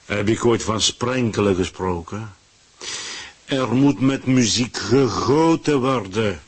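An actor playing a pompous, power-hungry government ruler in a radio play, declaiming with a husky voice. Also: male voice, on the low side, takes it slowly. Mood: imperious, dismissive.

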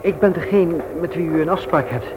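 A somewhat high-pitched man, played by an actor, speaks as a shy, awkward widower. He is courteous and hesitant, in an old radio play with clear background noise. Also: brisk, narrow, tinny audio, close to an everyday voice. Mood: flustered, hesitant. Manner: hesitant, stammering, formal. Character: shy, awkward, polite widower on a blind date. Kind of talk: Dutch radio play acting. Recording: noisy old radio recording.

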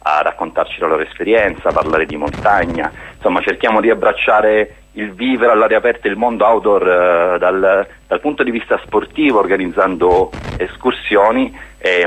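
A man's voice: low voice, moderate pace, hardly any pauses, a bit bright. A man speaking as a guest in a radio interview, explaining a project in an earnest way. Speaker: guest, spokesman of a hiking association.

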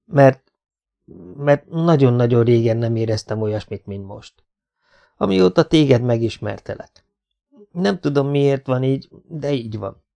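A man's voice: medium pitch, animated, vocal fry, pauses often, mellow. A man reading an audiobook, voicing a wounded, stubborn commando who speaks tersely and halts when moved. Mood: halting.

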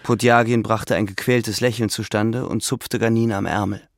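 A man's voice: on the low side, steady tone, normal speed, no room sound, studio quality. A man reading literary narration as the narrator of a drama, with ambient sound behind him. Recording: clear background ambience under voice.

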